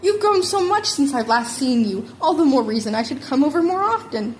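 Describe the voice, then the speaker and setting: Brash, big-hearted aunt for a story reading played by a female narrator: loud, teasing, high-pitched. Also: very animated, brisk, a little hiss or hum. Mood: big, cheerful. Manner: boisterous, teasing.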